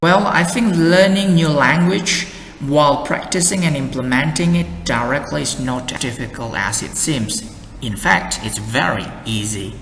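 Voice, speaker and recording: A man's voice: on the high side, gravelly, a bit bright, slight background noise. Indonesian-accented man in an echoey room. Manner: slow, careful explaining with many "and then" links. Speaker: English exam tutor. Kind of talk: lesson with sample answers. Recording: echoey room with faint ambience.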